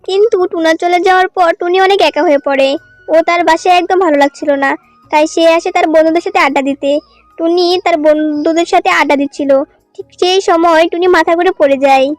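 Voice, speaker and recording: Female narrator for a children's cartoon tale, extremely high voice, dry sound with music underneath. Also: steady tone, fast talker, dry recording.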